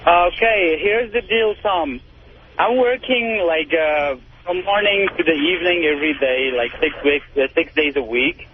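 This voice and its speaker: Guy phoning in on a thin noisy line, voice a bit high, rambles and repeats himself explaining stuff. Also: measured pace. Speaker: phone-in caller. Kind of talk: casual rambling storytelling. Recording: tinny, noisy phone line on radio.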